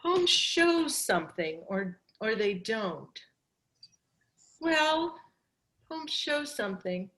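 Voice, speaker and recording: A woman poet whose first language is German, answering audience questions at a reading and pausing to search for her words. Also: on the high side, sing-song, a bit bright.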